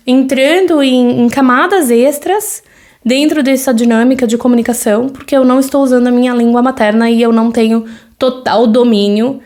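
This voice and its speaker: A woman's voice: on the high side, darkish tone. A Brazilian woman in her thirties with a Brazilian Portuguese accent, hosting a solo podcast and talking in a loose, conversational way, with many fillers and tag questions. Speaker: podcast host.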